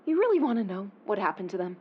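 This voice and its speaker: A woman acting as a haunted, defiant neuroscientist confessing secrets in an audio drama, in a tense, hushed, breathy delivery. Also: medium pitch, sing-song, quick pace, dull audio. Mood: reluctant, edgy.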